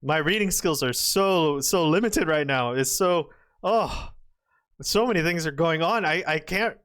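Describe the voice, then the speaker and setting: A man with a somewhat high voice commentates on a board game. He is excitable and exclamatory, often trailing off mid-sentence and restarting. His voice is slightly hoarse. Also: measured pace.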